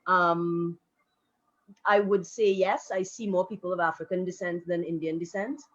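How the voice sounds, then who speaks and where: A woman, speaking as a panelist in a live discussion in a frank, reflective, measured way. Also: lowish voice, fairly even intonation. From Trinidad and Tobago. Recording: dull lo-fi audio.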